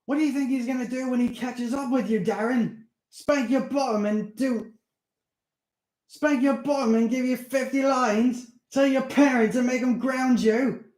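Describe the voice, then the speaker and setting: A man voicing an excitable, hot-tempered schoolboy who yells in a high, shrill voice. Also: unhurried, long pauses. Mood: furious. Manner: yelling insults, high-pitched and shrill. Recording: roomy echo.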